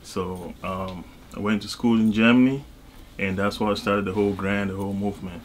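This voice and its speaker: A man from Cameroon, talking casually as an interview guest in a halting way, with frequent asides. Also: fairly low pitch, fairly even intonation, slight background noise. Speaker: interview guest, music artist and label executive.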